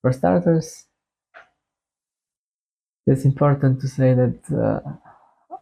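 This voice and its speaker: A young man with a Bulgarian accent gives a casual monologue to his viewers. His voice is gravelly and mellow, and he speaks slowly, often pausing partway through a thought as if feeling out the next phrase. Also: medium pitch, rough recording. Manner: halting, hesitant delivery with long phrasing breaks. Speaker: YouTuber introducing a music channel.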